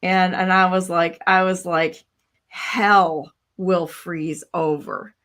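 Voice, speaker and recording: A woman's voice: on the low side, fairly even intonation, slow pace, a bit bright. A woman in her sixties hosting a casual chat, blunt and rambling, full of "you know" and "like". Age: 60s. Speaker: host.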